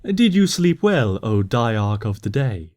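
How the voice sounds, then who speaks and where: A man voicing a wise, gentle female moon princess pony who speaks in a formal, slightly old-fashioned way in a storybook reading. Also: mid-range voice, very animated, slow. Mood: fond. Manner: formal, archaic-tinged, tender speech.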